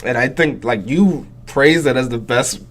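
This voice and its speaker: A young adult man co-hosting a casual podcast, congested from a cold, talking softly and hesitantly from a little way off the mic. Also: mid-range voice, unhurried. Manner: hesitant, many fillers, soft-spoken. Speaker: podcast co-host. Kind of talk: casual chat. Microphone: sitting far from the mic.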